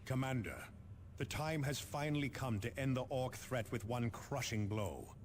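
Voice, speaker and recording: An actor playing a grave military officer, giving formal, dramatic mission briefings in a video game. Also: male voice, medium pitch, slight background noise, lightly acted.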